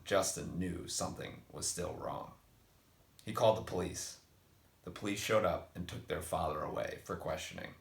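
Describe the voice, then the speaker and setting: A man reading a scripted spooky story for children in an expressive narrating style, with a gravelly voice. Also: low voice. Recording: roomy, echoing voice.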